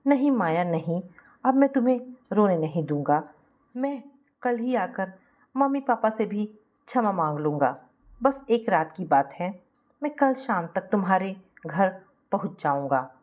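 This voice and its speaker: A woman's voice: medium pitch, lively intonation, a little slow, a little mellow, dull audio, natural voice. Sorry husband begging his wife back, voiced by a lady storyteller, apologetic, family drama. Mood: tender, insistent. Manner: pleading, apologetic. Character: remorseful husband pleading with his estranged wife.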